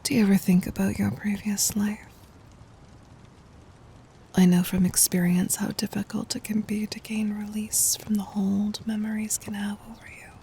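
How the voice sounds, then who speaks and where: A woman playing an adoring, gently dominant demon queen in a whispered ASMR roleplay, speaking softly and breathily with tender, regal phrasing. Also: mid-range voice, little pitch movement, normal speed, a little hiss or hum, close to an everyday voice. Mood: tender, concerned.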